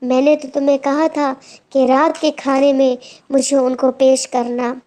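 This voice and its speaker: Woman playing an imperious lion king, high-pitched. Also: flat delivery, measured pace, mellow. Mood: irritated, commanding. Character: imperious male lion king, irritable, hungry for prey. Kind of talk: children's moral storytelling.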